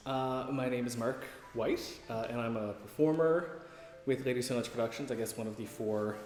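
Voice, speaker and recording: A man's voice: medium pitch, normal speed, a little mellow. A man who performs on stage, talking casually in an interview. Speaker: theatre performer. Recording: echoey hall.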